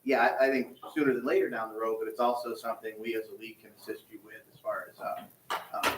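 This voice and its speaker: A man representing a softball league, explaining plans at a town board meeting in an easygoing way with frequent restarts. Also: mid-range voice, steady tone, quick pace, a little hiss or hum. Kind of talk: public meeting discussion. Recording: distant mic in echoey hall.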